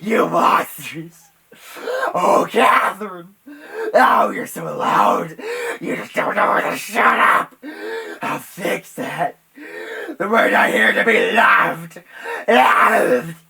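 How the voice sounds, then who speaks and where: A man playing a hysterical madman in a dramatic script, screaming and sobbing in a strained, raspy voice. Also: high voice, wildly swooping pitch, slow, bright, forceful tone, voice pushed up, heavily acted. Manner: screaming, sobbing, manic laughter. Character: hysterical madman raving at his sock puppets.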